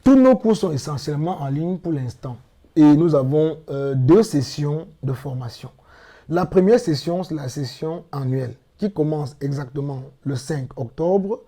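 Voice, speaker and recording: A middle-aged man from Cameroon answering interview questions in a measured, explanatory way with emphatic repetitions. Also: fairly high pitch, dark tone. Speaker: interviewed guest, language teacher.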